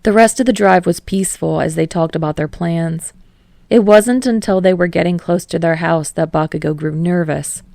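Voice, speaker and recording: Low-pitched, slightly creaky woman narrator. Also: steady tone, moderate pace, darkish tone, no room sound, studio quality. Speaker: audiobook narrator. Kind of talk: scripted fiction narration.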